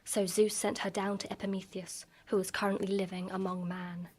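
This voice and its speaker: A woman playing a mysterious, teasing young beauty who tells an ancient myth in a measured, suspenseful way for a radio drama. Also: mid-range voice, level tone, husky, close to an everyday voice. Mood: solemn storytelling. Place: live on a festival stage.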